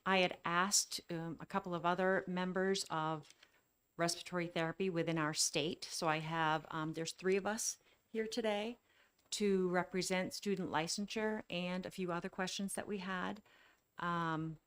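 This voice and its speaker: A woman respiratory therapist giving public testimony, with a soft voice and a hesitant, apologetic manner. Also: on the low side, steady tone, moderate pace, smooth. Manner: hesitant and apologetic, new to the process. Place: legislative committee hearing room. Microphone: table microphone.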